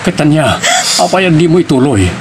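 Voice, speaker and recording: A man's voice: on the high side, very animated, fairly quick, background noise. A man acting a hurt, insistent young lover in an audio drama, intense and questioning, with a Filipino Ilocano accent. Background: prominent music.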